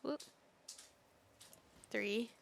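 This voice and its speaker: A woman giving casual, playful live commentary as she plays a video game, dropping in cutesy little interjections. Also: drawn-out words. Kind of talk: casual gameplay commentary, chatting to herself.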